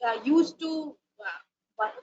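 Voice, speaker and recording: A woman's voice: high voice, expressive, slow pace, darkish tone, slight background noise. A woman with an Indian English accent, a native Rajasthani speaker, giving a webinar talk with frequent hesitations. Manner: many hesitations, polite and explanatory. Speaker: webinar speaker, language teacher. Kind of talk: lecturing in a webinar. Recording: dull lo-fi online call audio.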